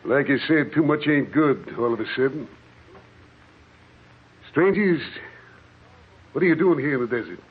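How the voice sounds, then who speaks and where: An actor playing a guarded, calm man of the Old West in a desert cabin, folksy and measured, in 1940s radio style. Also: medium pitch, slightly rough. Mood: guarded, steady. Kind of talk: 1940s radio western-horror acting. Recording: muffled, noisy old radio recording.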